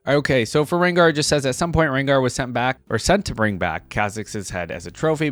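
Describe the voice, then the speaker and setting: A man's voice: fairly low pitch, no room sound. A young man in a casual, informal reaction-video style, commenting and reading text aloud on a close microphone. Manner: informal, slangy.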